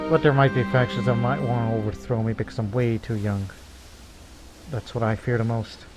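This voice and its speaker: A man playing a strategy game, musing aloud and speaking as his ruler, with laughing asides. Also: mid-range voice. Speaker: game let's player. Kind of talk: casual gameplay commentary.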